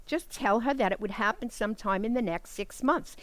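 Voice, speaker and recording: A woman playing a bossy, sarcastic married sister in a comic radio play, with a brisk, emphatic delivery. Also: a little high, fairly bright tone, dry recording, close to an everyday voice. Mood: scheming. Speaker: actor in community theater. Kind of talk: comic radio theater acting.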